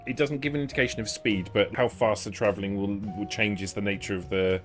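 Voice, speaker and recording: A man with a fairly low voice runs a tabletop role-playing game. He explains and narrates in a measured, improvised manner, with music faintly behind him. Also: steady tone. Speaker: game master.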